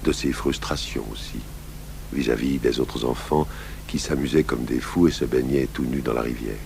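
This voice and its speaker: An actor playing a calm, patient, fatherly police detective in a French-language radio drama, speaking with measured courtesy. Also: rumbling low, vocal fry, heavily acted. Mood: reflective. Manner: measured, courteous questioning and recounting. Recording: humming, noisy old radio tape.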